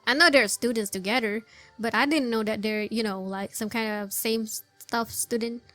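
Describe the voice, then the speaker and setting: A woman gamer with a fairly high voice reacts casually as she plays. She is expressive and rambles through her thoughts, in a dry close recording with soft game music underneath. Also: normal speed, dry recording. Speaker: gameplay streamer, commentator.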